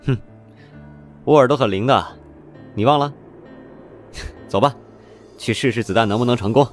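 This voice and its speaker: An actor playing a calm young man who speaks in a relaxed, reassuring way, in an audio drama. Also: mid-range voice, moderate pace, faint music behind, close to an everyday voice. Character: calm, easygoing young man, resolved to face risk.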